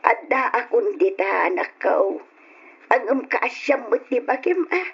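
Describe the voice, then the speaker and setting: Elderly worried mother, husky voice, pleading, old radio drama, muffled sound. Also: on the high side, big pitch swings, a little slow, heavily acted. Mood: pleading, concerned. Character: older mother gently pleading with her grown, drinking son.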